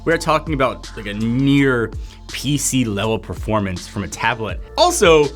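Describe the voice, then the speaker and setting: A man's voice: mid-range voice, big pitch swings, moderate pace, faint music behind. A man hosting a tech video, giving a casual, chatty sponsored product review full of "like" fillers.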